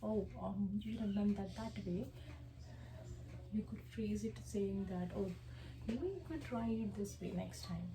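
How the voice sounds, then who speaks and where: A young woman whose first language is Hindi presents her coursework in an echoey room. Her voice sits at a middle pitch, and she speaks hesitantly, filling pauses with ums and uhs. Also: noisy background. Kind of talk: class presentation.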